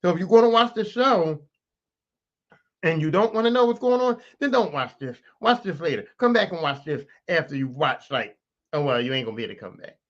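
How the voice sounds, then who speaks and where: A man hosting his own podcast, raspy this morning, talking casually and with plenty of swearing straight to his listeners. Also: on the high side, a little fast, dull audio. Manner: profane, animated, talks straight to listeners. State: raspy, clearing throat. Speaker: podcast host. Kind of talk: casual solo podcast rant.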